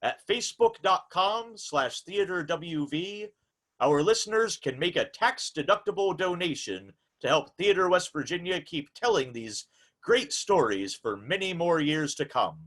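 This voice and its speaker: A man's voice: on the high side, lively intonation, measured pace, fairly bright tone. A man playing a formal, polite old-time radio hour host, announcing in a theatrical, scripted showman's style. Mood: genial, showy.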